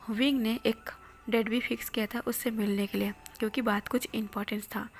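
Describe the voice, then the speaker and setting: A woman narrating a romance story in a soft, steady whisper. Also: fairly high pitch.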